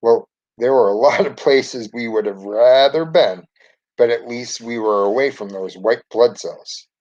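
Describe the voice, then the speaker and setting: A man narrates a children's book as a storyteller reading aloud. His voice sits at an average pitch and he reads expressively. The recording is somewhat dull and lo-fi. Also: measured pace, a little mellow, dry recording.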